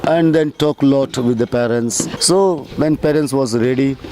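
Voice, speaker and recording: A man's voice: moderate pace, dark tone. A man who leads a youth group, recounting his preparations in a documentary interview. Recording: noisy setting with background rumble.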